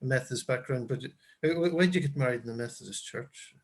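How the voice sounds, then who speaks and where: An Irish man hosting a casual chat while making pancakes, talking haltingly with many ums and restarts. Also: mid-range voice. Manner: hesitant, stammering, lots of fillers. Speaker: host.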